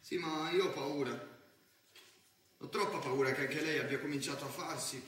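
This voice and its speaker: A man's voice: a little high, normal speed, fairly bright tone, a little hiss or hum, lightly acted. Man acting anxious teenage boy, very echoey. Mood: worried, sullen. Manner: emphatic, colloquial, swearing. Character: anxious, restless teenage boy, streetwise student narrating his evening. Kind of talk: theatrical monologue in colloquial Italian. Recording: echoey theatre stage from far off.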